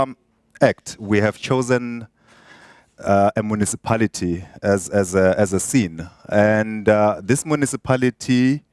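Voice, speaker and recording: A man presents to his classmates, stumbling and restarting as he sets out the scene. His voice sits low and sounds dry and close, with no room echo. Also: a little mellow. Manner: hesitant, many fillers and restarts. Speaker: student presenter introducing a role-play.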